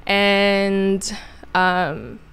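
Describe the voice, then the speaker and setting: A young woman in her twenties with a smooth, clear voice at a middling pitch. She talks casually as an interview guest, hesitant and full of little fillers and restarts. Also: drawn-out words, a bit bright. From Ghana. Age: 20s. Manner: hesitant, many ums and restarts.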